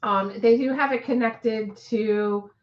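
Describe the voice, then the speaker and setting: A woman co-hosting a casual live chat, rambling with many ums and restarts, her voice hoarse and nearly gone. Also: a little high, slow, highs cut off.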